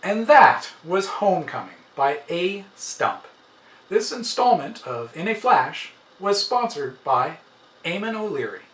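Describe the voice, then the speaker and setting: A man reading a short story aloud as a dramatic, expressive narration. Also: high voice, unhurried. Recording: roomy, slightly distant, faint noise.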